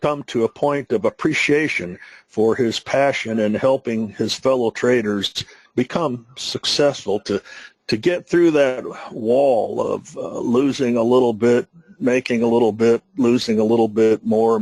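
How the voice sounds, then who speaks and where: A man's voice: mid-range voice, measured pace, a little mellow, dry recording, rough recording. Male webinar host, appreciative intro, dry mic. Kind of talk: introducing a guest speaker.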